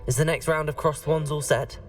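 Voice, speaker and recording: An actor playing a teenage wizard student in a video game, polite, articulate, eager and confident. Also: male voice, fairly high pitch, steady tone, brisk, close to an everyday voice. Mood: polite, upbeat. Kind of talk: video game character acting. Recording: slightly distant, faint music behind.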